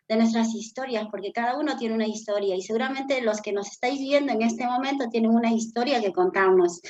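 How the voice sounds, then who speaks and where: A woman's voice: fairly high pitch, dull audio. A middle-aged woman with a Peruvian accent, a business consultant telling her story earnestly as an interview guest. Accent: Peruvian Spanish. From Peru. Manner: earnest, reflective storytelling with frequent fillers. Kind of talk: interview conversation.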